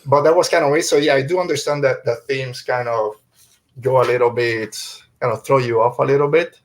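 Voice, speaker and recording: A middle-aged man hosts a podcast in a casual, conversational way. He is a native Spanish speaker, with a medium-pitched voice, talking through a review. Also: fairly even intonation, fairly quick, slight background noise. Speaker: podcast host.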